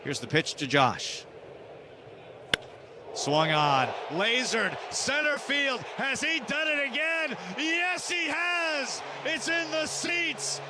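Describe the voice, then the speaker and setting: A man's voice: very high-pitched, lively intonation, slow, noisy background. A man with an American accent calling live baseball play-by-play on radio in short, clipped phrases.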